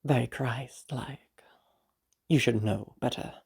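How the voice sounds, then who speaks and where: A woman voicing a controlling, sanctimonious, menacing mother in an amateur audio roleplay, with a husky, gravelly voice and a condescending, deliberate, taunting manner. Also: rumbling low, darkish tone, heavily acted. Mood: scornful.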